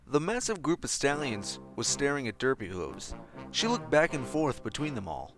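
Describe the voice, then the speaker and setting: Animated male storyteller over music. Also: mid-range voice, normal speed. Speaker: fan fiction narrator. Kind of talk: expressive scripted story narration.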